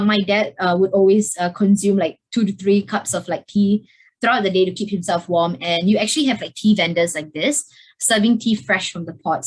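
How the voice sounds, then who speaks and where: Young female student, hesitant presenter with lots of fillers, video call. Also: mid-range voice. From Singapore. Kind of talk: presentation over slides.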